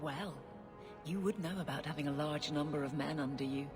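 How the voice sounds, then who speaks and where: An actress playing a stern, blunt captain of the city guard in a video game, clipped, dry and commanding. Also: female voice, deep voice, a little fast, music in the background, natural voice. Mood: dry, irritated.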